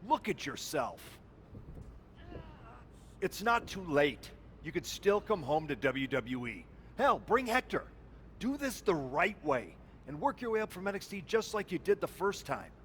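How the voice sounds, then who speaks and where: An actor playing an earnest wrestling veteran in a video game, urgent and pleading. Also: male voice, sits high, big pitch swings, a little slow, fairly bright tone, slight background noise, exaggerated voice. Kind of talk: video game cutscene acting.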